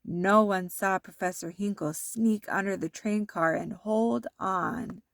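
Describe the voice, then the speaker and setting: A woman's voice: mid-range voice, gravelly, no echo. Woman reading a children's story, very dry sound. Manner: deliberate, animated storytelling. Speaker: storybook narrator. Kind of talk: expressive children's read-aloud.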